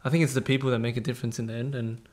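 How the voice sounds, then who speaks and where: A man's voice: medium pitch, level tone, racing, a little mellow. A man co-hosting a podcast, speaking hesitantly and hedging with "like" and "I mean". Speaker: podcast co-host. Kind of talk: casual chat among friends.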